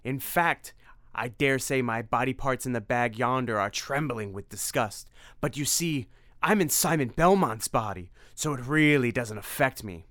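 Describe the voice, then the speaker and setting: A man voicing a gloating male vampire lord who has taken over a hero's body, speaking slowly with mocking menace. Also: medium pitch, a bit bright, no room sound, studio quality, natural voice.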